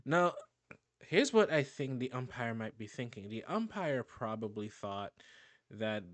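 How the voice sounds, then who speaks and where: A man's voice: medium pitch, big pitch swings, measured pace, a bit bright, dry recording. A man giving casual, rambling reaction commentary on a video, often restarting and laughing. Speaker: YouTube reaction host.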